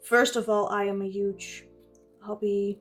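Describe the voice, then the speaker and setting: A woman's voice: mid-range voice, little pitch movement, unhurried, faint music behind. A woman from the Netherlands with a Dutch accent, chatting informally in English as a non-native speaker while narrating a craft vlog. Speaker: craft vlogger. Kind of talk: casual narration while journaling.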